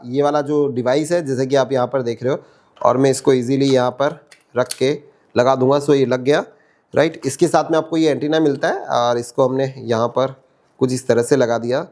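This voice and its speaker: A man's voice: medium pitch, fairly even intonation, moderate pace, darkish tone. A man with an Indian accent giving a casual, explanatory product demo as a reviewer, mixing in English terms. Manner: conversational, explanatory, Hindi mixed with English terms.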